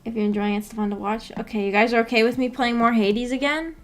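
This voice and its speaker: A woman's voice: fairly high pitch, steady tone, quick pace. A woman hosting a casual livestream, rambling and thinking aloud in a laid-back way as she chats with viewers. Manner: reads viewer comments aloud and replies. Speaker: livestream host.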